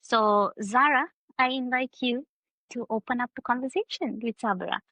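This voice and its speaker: A woman's voice: on the high side, moderate pace, pushed and bright, no room sound, lo-fi sound. A woman hosting a talk show, introducing the conversation casually with lots of "you know"s. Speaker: host.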